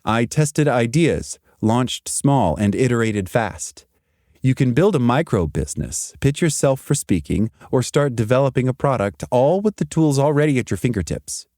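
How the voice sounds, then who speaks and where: A man giving a scripted motivational career talk in an emphatic, deliberate manner with short punchy sentences. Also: medium pitch, expressive, darkish tone, no room sound, clean studio sound. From Zimbabwe and South Africa. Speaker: podcast host and career speaker.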